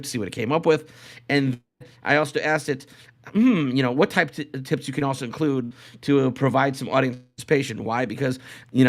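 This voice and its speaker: A man hosting a casual live podcast, talking in a rambling way and often repeating phrases as he goes. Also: mid-range voice. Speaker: podcast host.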